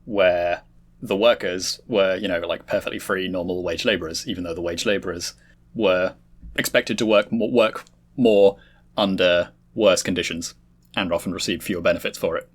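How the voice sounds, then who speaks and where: A man's voice: low-pitched, fairly even intonation, normal speed. A man explaining his points analytically in a casual podcast discussion. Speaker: podcast panelist.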